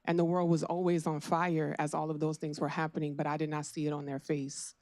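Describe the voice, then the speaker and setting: A woman's voice: sits low, little pitch movement, fairly quick, a little mellow, dry recording, clean studio sound. A woman in her forties on a panel, earnest and exhorting the audience directly. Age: 40s. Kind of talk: panel talk.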